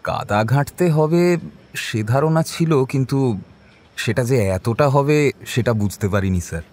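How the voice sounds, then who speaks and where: A man's voice: medium pitch, animated, a little slow, a little mellow. A male actor playing a deferential, eager junior police officer in an audio drama, questioning and slightly hesitant. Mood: hesitant, amused.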